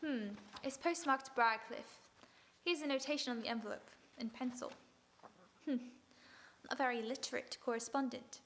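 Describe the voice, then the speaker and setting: A woman playing a composed, sharp detective in an old-time radio drama, cool and in command. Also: high voice, normal speed, a little hiss or hum.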